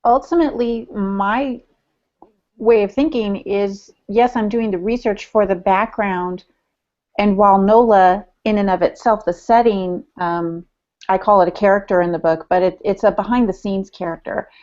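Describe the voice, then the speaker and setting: A woman's voice: medium pitch, fairly even intonation, moderate pace, a little mellow. An American woman from Michigan speaking as a podcast panelist and novelist, explaining things casually through examples. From Michigan, United States. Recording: dull, lo-fi podcast audio.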